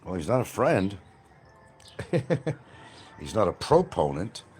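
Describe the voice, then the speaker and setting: A man's voice: fairly low pitch, sing-song, takes it slowly, darkish tone, slight background noise. A man hosting a rambling livestream outdoors by a field, answering comments in a blunt, combative way. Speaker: livestream host.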